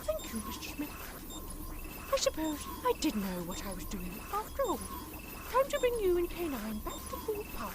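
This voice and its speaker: Confident heroine played by a woman for audio drama: very animated, high-pitched, triumphant. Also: normal speed, noisy background, exaggerated voice. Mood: relieved, fond. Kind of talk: fan audio drama acting.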